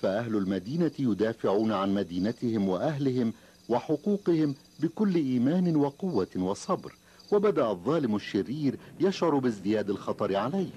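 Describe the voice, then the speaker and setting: A man's voice: medium pitch, expressive, brisk, lo-fi sound. A man narrating a children's story in a dramatic, scripted style. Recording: loud ambient background noise.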